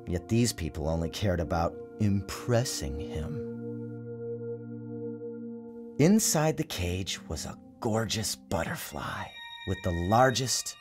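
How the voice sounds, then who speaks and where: A man with a low voice reads a storybook tale in a theatrical, measured way. His pitch rises and falls widely as he narrates, and music plays clearly behind him. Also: sing-song. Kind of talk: dramatic storybook reading.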